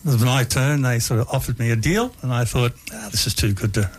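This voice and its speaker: An older Australian man telling his life story as a radio guest, relaxed and hesitant, with frequent ums. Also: mid-range voice, fairly quick, a little hiss or hum.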